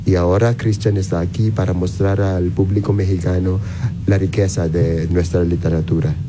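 Low-voiced man speaking haltingly over noise. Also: flat delivery. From Philippines. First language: Filipino. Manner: halting, searching for words. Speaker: ambassador, interview guest. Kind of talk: interview answers in a second language. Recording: clearly noisy ambience behind the voice.

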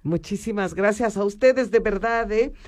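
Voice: medium pitch, moderate pace, darkish tone. A radio host with a Mexican accent interviewing guests in the studio, chatty and full of fillers.

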